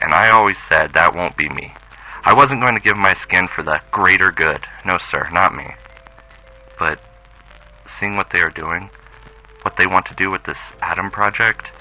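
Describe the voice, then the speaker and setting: Man playing a deep-voiced, doomed small-time thief. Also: sharp tone, dull audio, full character voice. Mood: earnest, reflective. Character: doomed small-time male thief reading his remorseful, resolute farewell letter. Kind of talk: classic radio drama acting.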